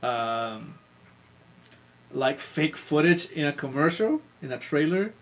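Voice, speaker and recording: A man's voice: a little high, very animated, takes it slowly. A man co-hosting a casual chat, chiming in with short, conversational remarks. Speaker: podcast co-host. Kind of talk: casual chat, brief interjections. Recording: clearly noisy mic with clicks.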